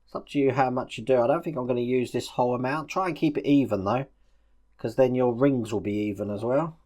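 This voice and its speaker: A man's voice: medium pitch, a little fast, mellow. A man with a mid-range voice leads a practical model-building tutorial. He speaks informally, describing each small action as he does it, in step-by-step instructional commentary. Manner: informal, narrating actions as he works.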